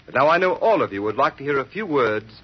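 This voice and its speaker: A man's voice: mid-range voice, big pitch swings, fast talker, breathy edge. An American man announcing formally in the style of 1930s radio. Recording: dull, muffled old radio recording.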